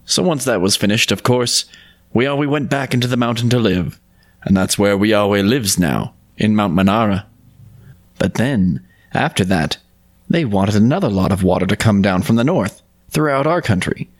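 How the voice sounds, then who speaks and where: A man co-hosting a podcast, discussing and narrating folk tales in an earnest, unhurried way. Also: lowish voice, lively intonation. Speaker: podcast co-host and storyteller.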